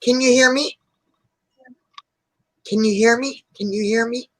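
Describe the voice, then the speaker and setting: A woman's voice: fairly high pitch, steady tone, measured pace, dry recording. A woman who is a guest on a live video chat, friendly and helpful and asking lots of questions. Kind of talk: casual chat. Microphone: video call.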